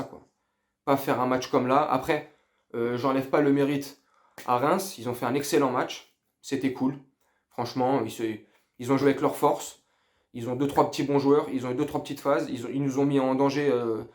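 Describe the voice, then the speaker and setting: A middle-aged man records a casual football debrief for his followers. He speaks in loose, slangy phrases, often hesitating and circling back, with a let-down, unenthusiastic manner. His pitch sits in the middle for a man. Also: a little mellow. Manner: informal, slangy, hesitant and rambling; underwhelmed, lacking enthusiasm. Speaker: football fan vlogger. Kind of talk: casual post-match debrief to viewers.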